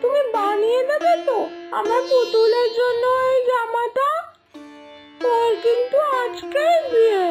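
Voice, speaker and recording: A woman voicing an innocent little village girl in an animated story, pleading with drawn-out, wheedling childish words. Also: very high voice. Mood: impatient, wheedling. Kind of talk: animated moral story acting. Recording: music behind the voice.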